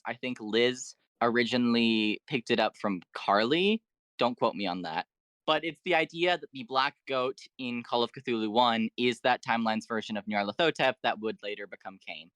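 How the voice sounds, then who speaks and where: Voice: medium pitch, fairly bright tone, no echo. A podcast guest talking through fan theories in an enthusiastic, explanatory way and in detail. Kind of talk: enthusiastic fan lore discussion.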